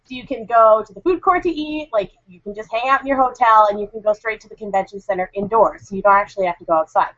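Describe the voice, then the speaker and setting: A woman's voice: medium pitch, fast, hardly any pauses, a bit bright, dry recording. A woman reviewing a convention in a chatty, rambling way while getting over a cold. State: recovering from a cold. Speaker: convention correspondent. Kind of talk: casual podcast review. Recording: dull lo-fi sound.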